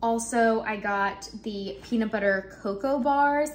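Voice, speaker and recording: A woman in her twenties chatting casually in her home kitchen, relaxed and full of ums and likes, filmed by a camera in front of her. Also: on the high side, a little slow, slight background noise. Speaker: vlogger. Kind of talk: casual grocery haul chat. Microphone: camera in front, close framing.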